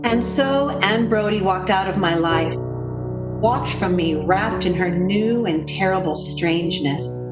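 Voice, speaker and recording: An actress playing an anguished woman haunted by a friend's dark deeds, in dramatic, emphatic 1940s radio style. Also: mid-range voice, takes it slowly, close to an everyday voice. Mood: haunted, solemn. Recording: roomy echo, music behind. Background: music.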